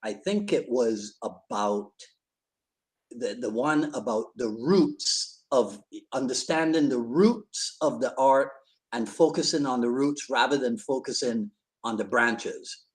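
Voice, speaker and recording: A man hosts a relaxed live-stream chat. His voice sits at an ordinary middle pitch, and he jokes easily, laughs often, and circles back over his words as he talks. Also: slow pace. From Barbados. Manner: chatty and jokey, laughs often, repeats words as he goes. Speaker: podcast host.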